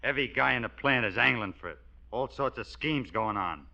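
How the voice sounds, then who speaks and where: An actor playing a gossipy, cagey factory worker in a 1940s radio sitcom. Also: male voice, medium pitch, expressive, fairly quick, gravelly, pushed and bright, heavily acted. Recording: muffled 1940s radio recording.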